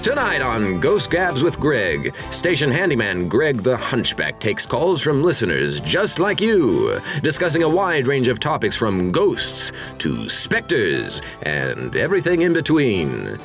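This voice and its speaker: A man's voice: medium pitch, huge pitch swings. A man playing a sinister, grandiose horror show announcer in melodramatic mock-horror radio style. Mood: showy. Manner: melodramatic, drawn-out. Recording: echoing reverb, music underneath.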